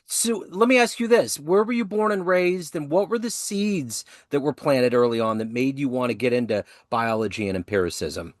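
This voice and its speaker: A man's voice: mid-range voice, lively intonation, normal speed, few pauses, dry recording, clean studio sound. A male host asking a guest direct, eager questions in an interview.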